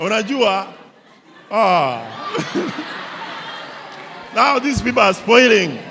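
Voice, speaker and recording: A man moderating a panel before an audience, slow and playful, often laughing, on a handheld mic in a large hall. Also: high-pitched, big pitch swings, creaky, crowd noise, background noise. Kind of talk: moderating a public panel discussion. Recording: long hall reverb.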